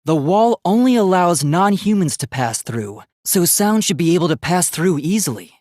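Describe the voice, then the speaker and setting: A man's voice: sits high, sing-song, moderate pace, a little mellow, dry recording, clean studio sound. A man voicing an earnest, logical young male robot student in a video game, speaking in a formal, precise, analytical way. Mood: analytical, serious. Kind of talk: English video game voice acting.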